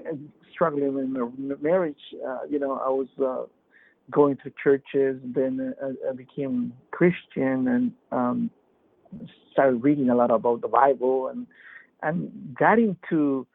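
Male guest, halting casual testimony, tinny dry phone-line sound. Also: medium pitch, creaky, no room sound. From Peru. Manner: halting, many fillers and restarts. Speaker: podcast guest.

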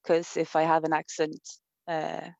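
A woman's voice: low-pitched, completely flat delivery, unhurried, a little mellow, dry recording, dull audio. A woman from Sweden with a Swedish accent, speaking thoughtfully in an interview, with many fillers and restarts. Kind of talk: reflective conversation.

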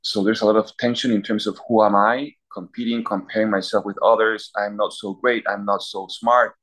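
Low-voiced priest, thoughtful. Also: male voice, flat delivery, moderate pace, fairly bright tone, rough recording. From Peru. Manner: thoughtful, listing examples, clears throat. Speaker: university chaplain priest. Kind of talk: casual interview answers.

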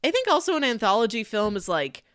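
A woman's voice: fairly high pitch, very animated, fast, fairly bright tone, no echo, studio quality. A woman co-hosting a podcast, talking casually and analytically as she explains her views on films. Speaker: podcast co-host. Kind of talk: casual film discussion podcast.

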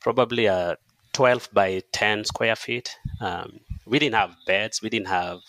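A man with a Kenyan accent speaking as an interview guest, telling his story in measured, hesitant phrases. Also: fairly low pitch, fairly bright tone, no room sound. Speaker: podcast guest, charity staff member. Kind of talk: conversational interview, personal storytelling.

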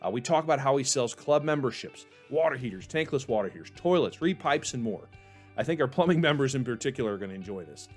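A man's voice: mid-range voice, expressive, fast, faint music behind. A male podcast host who reads a scripted introduction and then interviews a guest in an upbeat, encouraging way.

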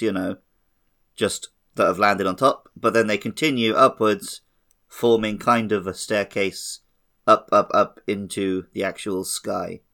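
Lowish-voiced man in casual chat. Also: fairly bright tone. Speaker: podcast co-host. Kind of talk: casual video game discussion.

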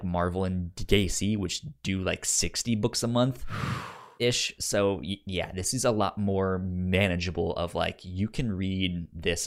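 A man co-hosting a casual pop culture podcast, explaining things and often repeating words as he goes. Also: deep voice. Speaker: podcast co-host.

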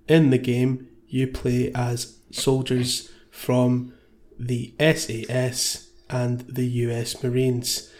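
A man's voice: medium pitch, a little mellow. A man taking part as a contestant in a comedy panel game, bantering and improvising reviews.

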